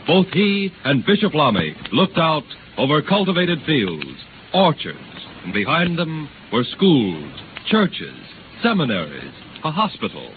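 A man's voice: fairly high pitch, huge pitch swings, measured pace. A man narrating a scripted 1930s radio drama in a grand, declamatory manner. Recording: noisy, tinny 1930s radio recording.

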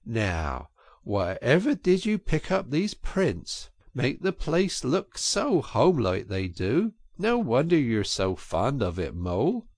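A man voicing a cheery storybook water rat, bossy and hearty, lively swinging pitch, gravelly edge. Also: slow pace, no room sound, clean studio sound, full character voice. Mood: reproachful. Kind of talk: storybook reading.

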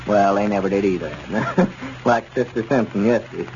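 An actor playing an easygoing, sensible small-town store owner in a 1930s radio comedy. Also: male voice, lowish voice, moderate pace, a little mellow, close to an everyday voice. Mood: amused. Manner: chuckling, level-headed. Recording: dull, noisy 1930s radio recording.

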